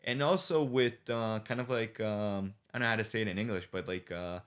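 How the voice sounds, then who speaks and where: A man speaks as a guest in a conversational interview. His voice sits on the low side, and he often hesitates as he reaches for the right word. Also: a little fast, fairly bright tone. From Israel. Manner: searches for words, frequent hesitations. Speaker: guest, army officer.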